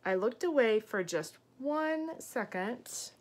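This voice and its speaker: A woman in her thirties reading a picture book aloud to young children, gently explaining and asking questions as a teacher does. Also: fairly high pitch, expressive, slow. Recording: clear background room noise.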